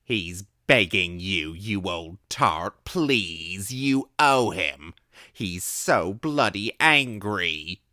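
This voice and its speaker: A man's voice: sits low, expressive, very slow, bright, forceful tone, no room sound, clean studio sound, pitched above the performer's own voice, exaggerated voice. A man voicing a sad, drunken Cockney vagrant who pleads and curses. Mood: pleading, angry. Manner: slurred pleading, abusive.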